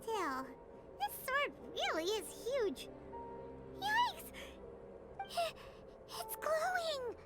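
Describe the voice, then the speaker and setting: A woman voicing a tiny, excitable, curious childlike fairy companion in an anime-style video game, full of squeaky exclamations and wide-eyed questions. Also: extremely slow, long pauses, exaggerated voice. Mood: startled. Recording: studio voice over game music. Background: background music.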